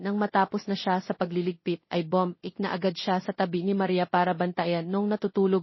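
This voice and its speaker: A woman's voice: mid-range voice, dry recording. A woman with a Filipino accent reading a scripted romance story as an audiobook narrator. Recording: dull lo-fi audio.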